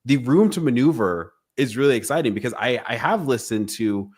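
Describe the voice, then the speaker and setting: A man's voice: medium pitch, animated, brisk, slightly rough. A man hosting a podcast, speaking in a casual, eager and curious way, often circling back over his phrases as he frames a question. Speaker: podcast host. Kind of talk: casual conversational interviewing.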